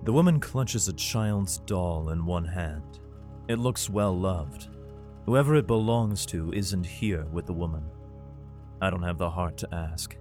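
A man's voice: deep voice, unhurried. A man acting a worried, protective father who narrates his own story in a sci-fi audio drama. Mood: brooding, weary. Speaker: narrator and lead actor. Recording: loud music under the voice. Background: dramatic music.

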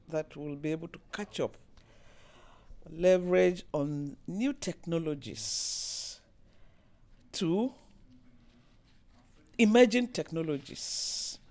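Woman, mellow tone, halting, lots of uh and um. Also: on the low side. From Nigeria. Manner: hesitant, many fillers and restarts. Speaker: interviewee, managing director. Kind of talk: video interview introduction.

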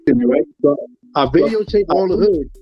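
A man's voice: fairly high pitch, expressive, a little fast. Laid-back slangy man, muffled lo-fi sound. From Liberia. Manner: laid-back, slangy, many fillers and "you know what I'm saying". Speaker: guest musician. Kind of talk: casual interview talk. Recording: dull lo-fi sound with faint music.

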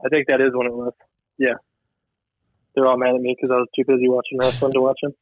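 A man's voice: mid-range voice, monotone, fast talker, dry recording. Rambling young man on a dull call line. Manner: rambling, meandering, swears casually. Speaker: podcast co-host. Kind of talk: casual chat. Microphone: remote call line.

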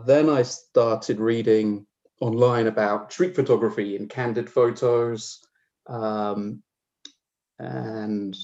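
A man in his forties with an English accent speaks at a middle pitch through slightly dull, lo-fi audio. He talks as a podcast guest, thinking aloud, often repeating words and feeling his way through sentences. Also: a little mellow. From south coast of England. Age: 40s. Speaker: interview guest, street photographer. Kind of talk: conversational podcast interview.

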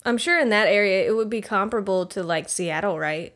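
A woman's voice: mid-range voice, a little fast, a bit bright. A woman co-hosting a podcast, telling corny puns in casual chat and giggling at her own jokes. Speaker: podcast co-host.

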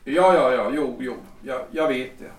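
A man's voice: medium pitch, natural voice. A man acting an anxious, insistent middle-aged husband in a period audio drama, hesitant and repeating his words. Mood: flustered, proud. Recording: roomy echo, distant from mic.

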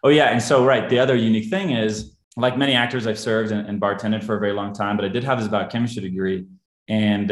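A man born in Brazil whose first language is Portuguese, talking casually as an interview guest. His voice sits a little low and he tells his story in a relaxed, rambling, self-deprecating way. The sound bounces around a roomy space. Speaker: guest actor.

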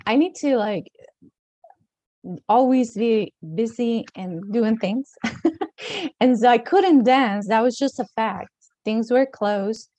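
A woman from Mexico with a Latin American Spanish accent, talking as an interview guest in a casual way. Her fairly high voice is mellow and soft-edged, and she often pauses to find words and laughs between phrases. The recording is dry and close. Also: no echo. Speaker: guest, dance teacher.

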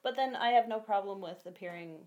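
A feminine-voiced guest talking candidly in a casual interview, chatty and quick to laugh. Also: female voice, mid-range voice, darkish tone. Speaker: guest, costumed performer.

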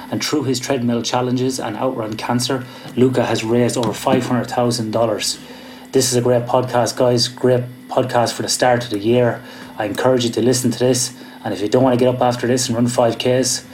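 A man's voice: mid-range voice, darkish tone, slight background noise, poor audio. A man with an Irish accent hosting a podcast, upbeat and encouraging as he introduces and questions his guest. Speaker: podcast host. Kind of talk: podcast interview. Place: home in Australia.

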